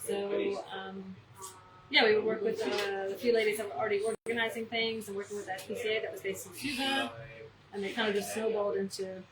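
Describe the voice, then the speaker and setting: A woman's voice: medium pitch, steady tone, fairly quick. An American woman co-founder of an animal welfare charity, answering interview questions earnestly with many ums and restarts. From United States. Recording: echoey, distant mic with loud crowd noise.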